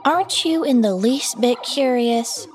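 A woman's voice: a little high, unhurried, faint music behind, pitched above the performer's own voice, lightly acted. A woman voicing an eager young girl in a horror story, coaxing and persuading like a little schemer. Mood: playful, insistent. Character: eager, scheming young girl pestering her older brother.

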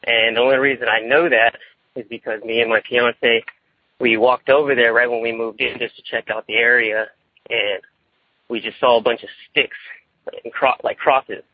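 A young man, calling in by phone and telling a personal story in a casual, rambling, nervous way, often halting and repeating himself. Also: medium pitch, level tone, slightly rough, dry recording. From California, United States. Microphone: phone line. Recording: tinny, boxy phone call.

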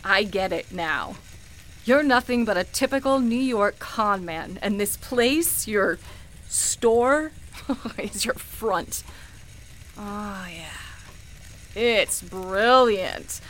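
An actress playing a grieving, skeptical woman in a radio play, emotional and halting, easily shaken as she speaks. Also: mid-range voice, lively intonation, a bit bright, rough recording. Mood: bitter, accusing. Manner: halting, stammering, dramatic. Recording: music behind the voice.

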